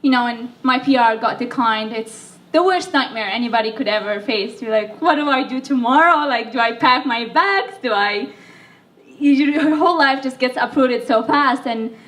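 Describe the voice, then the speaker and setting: Young woman from Libya, a bit under the weather, chatty talk with fillers, high voice, distant mic. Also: normal speed, fairly bright tone. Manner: chatty, self-deprecating, with fillers. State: sick. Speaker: speaker. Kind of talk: speech to an audience. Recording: voice from far off the mic.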